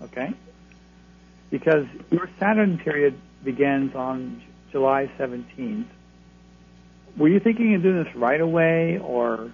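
Male astrologer guest, reassuring, gravelly, phone-line sound. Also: medium pitch, expressive, a little slow, long pauses. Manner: reassuring, advisory. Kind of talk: call-in radio readings. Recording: phone line, faint hum.

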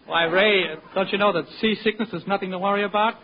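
A man's voice: high voice, steady tone, fast. A man playing a breezy, teasing sidekick with a jaunty way of delivering gags in old radio comedy. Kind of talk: 1940s radio comedy acting. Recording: muffled, noisy old radio recording.

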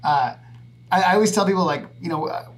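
A man's voice: high-pitched, fast talker, a little hiss or hum, rough recording. A man in his early thirties hosting a podcast, easygoing and casual, swearing now and then. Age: 30s. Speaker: podcast host.

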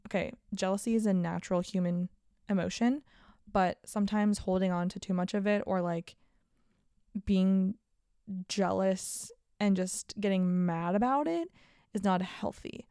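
A woman's voice: medium pitch, a little slow, dark tone, clear, resonant tone, dry recording, clean studio sound. A woman in her late twenties hosting a casual solo podcast, talking candidly and upbeat about her year. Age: 20s. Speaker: podcast host.

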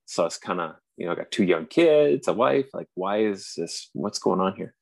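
A Canadian man in his forties with a very low voice, chatting over a remote call. He talks earnestly, stopping and restarting his phrases often. The sound is dry and close. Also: expressive, vocal fry, darkish tone, dry recording. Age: 40s. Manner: earnest, halting with frequent restarts. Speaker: podcast host. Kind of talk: casual conversation.

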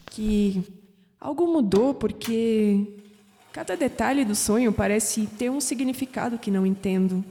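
A young Brazilian woman haunted by her dreams, wistful and anguished in an audio drama monologue. Her voice is fairly high and mellow, with dramatic pauses, set in long reverb. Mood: wistful, troubled. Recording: long reverb, music underneath.

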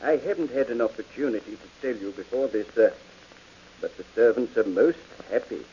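An actor playing a polite, deferential butler in a 1940s radio drama, on a dull, hissy old broadcast. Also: male voice, mid-range voice, expressive, a little fast, mellow, close to an everyday voice. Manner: formal, deferential.

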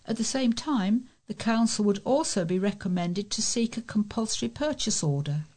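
A woman's voice: mid-range voice, darkish tone. A woman with an English accent, a volunteer newsreader, reading local news items aloud in an informal way.

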